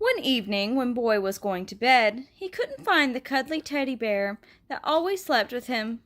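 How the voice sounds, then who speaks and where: A woman reads a children's picture book aloud. Her delivery is gentle and expressive. Her voice sits somewhat high for a woman. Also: normal speed. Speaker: storybook reader.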